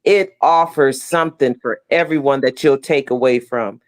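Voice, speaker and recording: A woman's voice: extremely low pitch, moderate pace. A middle-aged woman speaking casually and effusively as an interview guest on a video call, stressing her points. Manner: emphatic, effusive. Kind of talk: casual heartfelt interview talk.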